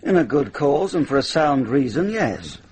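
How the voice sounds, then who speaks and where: Lively, wry deputy sheriff. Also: male voice, mid-range voice, normal speed, slightly rough. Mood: wry, businesslike. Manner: wry, bantering. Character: genial, witty male deputy sheriff, wry friend. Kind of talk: radio drama dialogue. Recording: noisy vintage radio drama recording.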